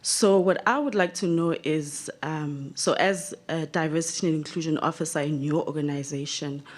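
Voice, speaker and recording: A woman's voice: sits low, normal speed. A young woman with a South African accent, politely and formally asking a question as a student.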